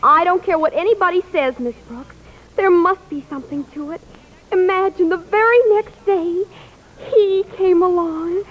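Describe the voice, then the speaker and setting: A woman's voice: very high-pitched, moderate pace. An actress playing a dreamy, gushing teenage schoolgirl in an old radio comedy, breathless and swooning, on a tinny recording. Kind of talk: 1940s-50s radio comedy acting.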